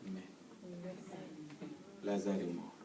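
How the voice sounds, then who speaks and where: A man preaching with call and response in a church hall, fervent and repetitive, often shouting. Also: low-pitched, big pitch swings, drawn-out words, vocal fry. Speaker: pastor. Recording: echoey hall, distant mic, congregation noise. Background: congregation answering.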